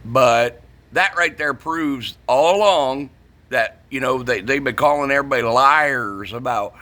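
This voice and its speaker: A middle-aged American man speaks in a bright, forceful voice at an ordinary male pitch. He rants in a casual, opinionated way, blunt and profane, hammering his points by saying them again. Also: unhurried. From northern United States. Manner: blunt, profane, emphatic, repeats himself. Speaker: CB radio shop vlogger.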